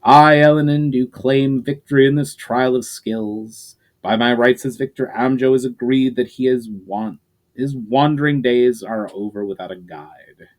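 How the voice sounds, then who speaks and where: A man's voice: mid-range voice, measured pace, natural voice. A man voicing a smug, aggressive nonhuman male warrior who talks in a sneering, gloating way.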